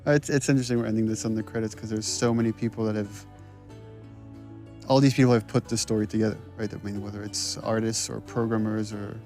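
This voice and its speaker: Gravelly, flat-voiced male commentator. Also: on the low side, faint music behind. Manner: thoughtful, halting restarts. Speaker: game director giving commentary. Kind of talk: reflective commentary over cutscenes.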